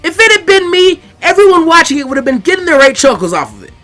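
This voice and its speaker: An excitable young ninja boy, acted out by a man, brash and loud, his pitch jumping high as he yells. He is cheeky and exasperated, in anime storybook style, with music behind him. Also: fast talker, exaggerated voice. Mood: exasperated, yelling. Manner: loud exclamations and yelling.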